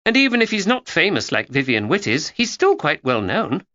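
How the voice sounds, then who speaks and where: A man's voice: a little high, huge pitch swings, moderate pace, pushed and bright, no room sound, lo-fi sound, voice pushed up, full character voice. A man voicing a chattering, know-it-all schoolgirl who lectures and teases. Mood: gossipy. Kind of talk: audiobook character voice.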